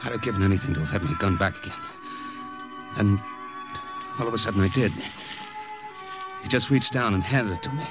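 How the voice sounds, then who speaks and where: An actor playing a tense, weary American military captain who narrates haltingly and dramatically in an old radio drama. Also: male voice, fairly low pitch, lightly acted, low-quality recording. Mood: tense, haunted. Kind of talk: 1950s radio drama acting, first-person narration. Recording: old radio recording with music behind.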